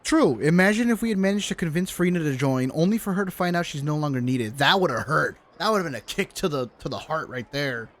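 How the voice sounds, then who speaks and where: A man's voice: fairly high pitch, expressive, quick pace, few pauses. A man streaming a game, casually reacting in slangy speech and talking back to the characters. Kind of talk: casual live reaction commentary. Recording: game music behind the voice.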